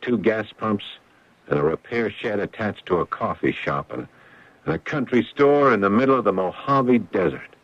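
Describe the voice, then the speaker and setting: An actor playing a wry, disillusioned former executive who turned healer, speaking deliberately in a radio drama. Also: male voice, on the low side, expressive, a bit bright, dry recording. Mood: quietly resolute. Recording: dull, dry old radio broadcast.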